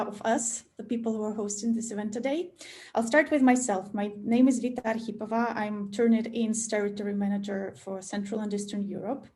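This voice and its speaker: A woman's voice: fairly high pitch, a little mellow. A woman hosting a webinar discussion, speaking hesitantly with many fillers. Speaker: webinar host.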